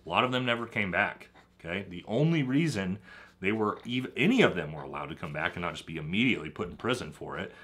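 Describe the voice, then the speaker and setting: A man's voice: lowish voice, pushed and bright. A man giving a blunt, emphatic monologue to viewers, often checking in with "okay?" and "right?". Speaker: commentator on military draft.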